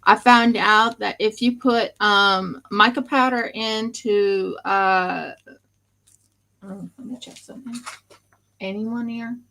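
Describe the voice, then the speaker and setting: American woman, craft streamer, slow and hesitant, casual. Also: medium pitch, steady tone, gravelly, bright, forceful tone. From United States. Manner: unhurried, hesitant, thinking aloud with fillers. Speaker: crafter hosting a resin livestream. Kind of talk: casual chat.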